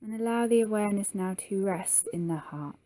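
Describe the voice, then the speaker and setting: A woman leading a guided yoga nidra meditation in a soft, soothing manner with long pauses. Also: medium pitch.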